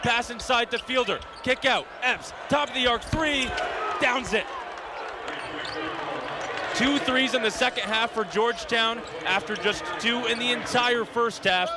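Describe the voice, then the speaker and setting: A man's voice: very high voice, unhurried. A young man calling a game live as play-by-play announcer, in clipped, descriptive phrases. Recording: loud crowd and arena noise.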